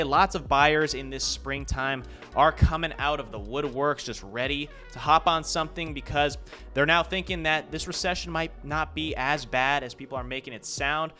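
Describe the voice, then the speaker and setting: A man's voice: fairly high pitch, sharp tone. A young man, a real estate agent, talking loosely through a map tour of a neighborhood with casual fillers and restarts.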